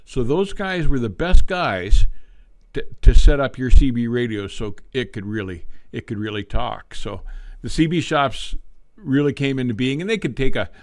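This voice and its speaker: A man's voice: mid-range voice, lively intonation, normal speed, no echo. Older man, folksy storyteller, dry close sound. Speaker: livestream host, veteran truck driver. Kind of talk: casual reminiscing talk on a live stream.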